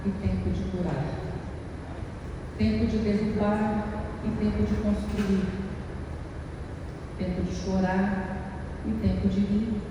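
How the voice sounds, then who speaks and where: A woman in her forties with a Brazilian Portuguese accent, preaching a sermon, reading scripture and asking rhetorical questions with growing intensity. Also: medium pitch. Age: 40s. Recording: echoey hall, distant mic, noisy background.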